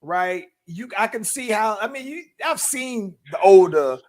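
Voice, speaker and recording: A man's voice: high-pitched, lively intonation, a little slow, a little mellow. A man co-hosting a podcast in casual chat, who rambles with many restarts and repeated words. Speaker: podcast co-host.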